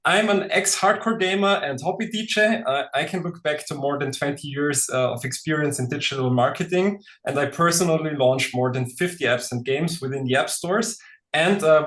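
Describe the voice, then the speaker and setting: A man's voice: medium pitch, fairly quick, fairly bright tone. A man in his forties from Austria, with an Austrian German accent, presenting at a webinar with frequent hesitations. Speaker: co-founder and presenter.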